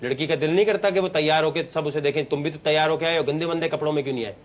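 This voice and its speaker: A man's voice: fairly high pitch, racing. A man in his thirties hosting an audition show in a TV studio, grilling a contestant with blunt, mocking questions. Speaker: show host and audition judge. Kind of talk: teasing, challenging audition interview. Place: TV studio set, seated at a desk.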